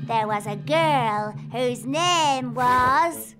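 A woman voicing an excitable, bossy little girl princess in a preschool cartoon, with childlike, emphatic delivery. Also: high-pitched, extremely slow, music in the background. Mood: eager, showy. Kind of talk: preschool cartoon acting, theatrical announcing.

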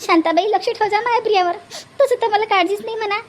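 A woman voicing a worried, humble middle-aged mother of a bride in a cartoon, imploring and apologetic, with a Varhadi Marathi accent and pitched-up voice. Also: fast talker. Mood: worried, pleading. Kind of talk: homemade cartoon family drama.

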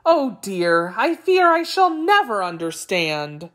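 A woman's voice: mid-range voice, big pitch swings, slow pace. A woman voicing a sly storybook jackal who politely dithers and feigns bewilderment. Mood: flustered, muddled. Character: sly jackal feigning befuddled, fretful confusion. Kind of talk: storybook character voice.

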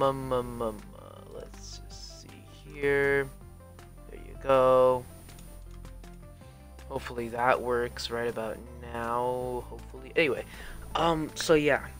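A young man casually commenting on his own game livestream, rambling with lots of fillers and frequent swearing, over faint game music. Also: medium pitch. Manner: rambling, mumbly, swears freely, many fillers. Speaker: streamer.

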